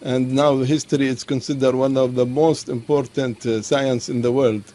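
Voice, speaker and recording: A man moderating, thanking the lecturer in halting, slightly non-native phrasing. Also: mid-range voice, steady tone, mellow. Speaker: event moderator. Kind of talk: thanking the speaker.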